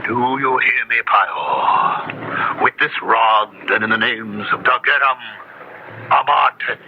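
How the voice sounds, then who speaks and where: Commanding occultist, deep-voiced. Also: male voice, slow, lo-fi sound, background noise. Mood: commanding, incantatory. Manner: incantatory, commanding, theatrical. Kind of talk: radio horror drama acting. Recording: vintage radio broadcast with music.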